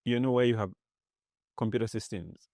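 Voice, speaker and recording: A low, gravelly man from Zambia talks as a guest on an interview podcast. He is animated, restarting and repeating words as he explains ideas. The recording is close and dry. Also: normal speed, no echo. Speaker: podcast guest, tech executive. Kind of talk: casual interview talk.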